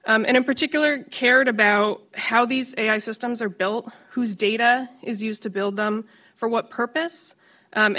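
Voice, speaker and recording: A woman's voice: medium pitch, sharp tone. A woman presenting survey results at a conference, speaking fluently with frequent ums. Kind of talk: panel presentation. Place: large conference stage.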